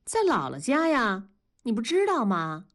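A woman voicing a sulky, defiant young schoolgirl who whines and protests loudly in an audio drama. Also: a little high, wildly swooping pitch, a little slow, full character voice. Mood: sulky, resentful. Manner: whiny, loud protests.

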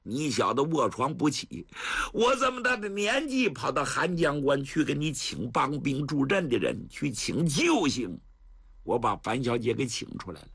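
A man's voice: mid-range voice, creaky, fairly bright tone, husky, dry recording, clean studio sound, full character voice. A male storyteller playing a blunt old general in his eighties, scolding and coaxing a young man in an earthy, exasperated way.

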